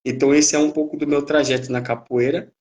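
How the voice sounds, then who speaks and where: A man in his thirties from Brazil, telling his story as a capoeira instructor on a video call, pausing and restarting often. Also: on the high side, flat delivery, a little mellow. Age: 30s. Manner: hesitant, many fillers and restarts, uneven pace. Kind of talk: live-stream interview.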